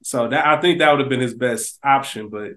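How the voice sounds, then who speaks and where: A man's voice: medium pitch, brisk, fairly bright tone. A man co-hosting a casual podcast, giving blunt opinions. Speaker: podcast co-host. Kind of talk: casual chat.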